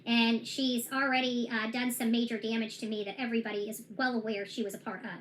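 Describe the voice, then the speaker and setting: A woman's voice: a little high, flat delivery, quick pace, slight background noise, low-quality recording. A woman giving a casual vlog update on her own lawsuit, rambling and emphatic with frequent restarts and self-corrections. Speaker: self-represented plaintiff giving a case update.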